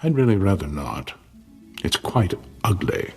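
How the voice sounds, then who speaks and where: An actor playing a nervous, obsequious museum assistant in a video game, flowery and deferential in speech. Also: male voice, low-pitched, sing-song, a little slow, gravelly, slightly rough, soft background music, full character voice. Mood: reluctant, nervous. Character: obsequious, nervous male conservator serving a curator. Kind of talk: video game cutscene acting.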